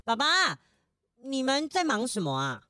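A woman's voice: high voice, wildly swooping pitch, unhurried, sharp tone, no room sound, studio quality, exaggerated voice. A woman playing a glum, innocent young child of a rich boss. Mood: curious.

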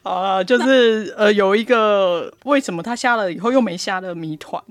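A woman's voice: mid-range voice, moderate pace, no echo, soft background music. A teasing woman with a Taiwanese Mandarin accent, co-hosting a casual podcast chat. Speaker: podcast host.

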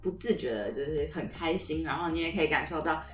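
A woman's voice: deep voice, fast, fairly bright tone, soft background music. A young adult woman co-hosting a casual podcast, explaining things in an agreeable back-and-forth way. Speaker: podcast co-host. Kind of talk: casual conversational podcast chat. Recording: roomy echo on the voice.

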